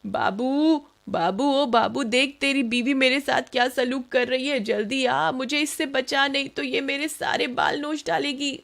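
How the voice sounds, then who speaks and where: A woman's voice: sits high, fairly even intonation, unhurried, creaky, hardly any pauses, fairly bright tone, voice pushed up, exaggerated voice. A woman voicing a put-upon older mother who wails and complains in a cartoon tale. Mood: wailing distress. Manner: wailing, complaining. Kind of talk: Hindi animated tale acting.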